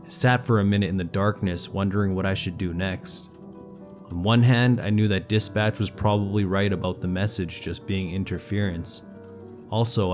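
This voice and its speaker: Man, low voice, scripted horror narration, first-person storyteller, faint music behind.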